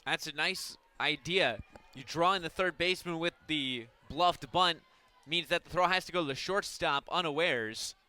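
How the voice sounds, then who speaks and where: A man giving conversational, explanatory color commentary on a live softball radio broadcast. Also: on the high side, moderate pace, clear, resonant tone.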